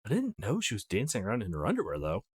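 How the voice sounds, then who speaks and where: A man's voice: fairly low pitch, wildly swooping pitch, fast talker, husky, full character voice. A man playing an easygoing, mildly exasperated young male protagonist of a visual novel, casual and dry.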